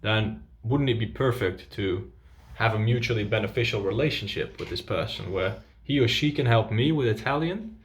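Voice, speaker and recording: A man's voice: fairly low pitch, normal speed. A young man whose first language is Dutch, presenting an upbeat video lesson with tips for viewers. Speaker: language coach.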